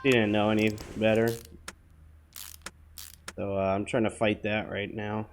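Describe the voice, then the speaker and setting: A man with a hoarse voice from a cold gives rambling, offhand commentary while playing, talking to viewers with plenty of fillers. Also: fairly low pitch, little pitch movement, unhurried, slight background noise. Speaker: gaming channel host. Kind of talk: casual gameplay commentary.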